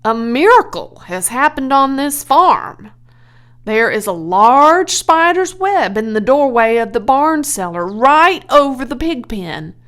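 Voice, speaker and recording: Woman as a halting, solemn farmer man. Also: high-pitched, sing-song, fairly bright tone, pitched above the performer's own voice, full character voice. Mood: awed. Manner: halting, stammering, grave. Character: awestruck, earnest older farmer man, solemnly shaken. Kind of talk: children's storybook character voice.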